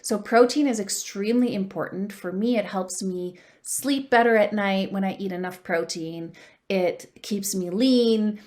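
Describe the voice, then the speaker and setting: Woman, 40s, dating coach; earnest and upbeat solo talk to camera, mid-pitched, peppered with ums. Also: a little slow, darkish tone.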